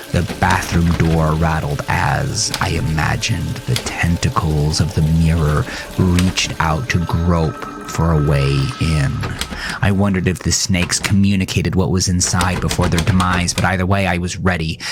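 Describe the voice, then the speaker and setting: Male actor, low register, as a haunted, regretful horror narrator; intense, deliberate, with clear music bed. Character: haunted, regretful man recounting his fight with a monster. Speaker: narrator and host of a horror audio drama. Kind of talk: dramatic first-person horror narration.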